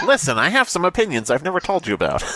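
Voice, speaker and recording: A man's voice: on the high side, no room sound. A man co-hosting a podcast, chatting casually and reading a story aloud while laughing and swearing a lot. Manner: lively, cracks himself up laughing and swearing.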